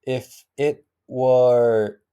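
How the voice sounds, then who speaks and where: A man in his thirties with a Japanese accent, talking alone to the camera in English, halting and often restarting as he searches for words. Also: medium pitch, fairly even intonation, warm tone, clear, resonant tone, highs cut off. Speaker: vlogger practicing English. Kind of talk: casual solo monologue.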